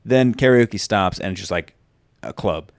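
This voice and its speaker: A man hosting a podcast, telling stories in animated casual chat with lots of fillers. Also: lowish voice, normal speed, no room sound, studio quality. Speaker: podcast host.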